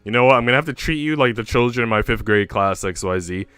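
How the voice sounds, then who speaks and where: A young man with an American accent talks casually to his livestream. His voice is clear and resonant, on the low side, and his delivery is slangy and informal with some swearing. The sound is close and dry, with music playing behind him. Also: expressive, fast, a bit bright, dry recording. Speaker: livestreamer and host. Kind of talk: casual livestream chat with viewers. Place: indoor room at home.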